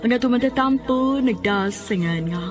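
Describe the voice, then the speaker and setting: A woman's voice: on the high side, normal speed, heavy background noise. A woman with a Cebuano Filipino accent reading a scripted introduction as narrator of a radio drama. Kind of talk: scripted narration introducing a letter sender's story. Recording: music behind the voice.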